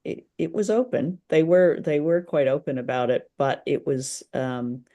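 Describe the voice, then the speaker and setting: Woman podcast host, casual book chat, kinda halting, thinks out loud as she goes. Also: deep voice, dull audio.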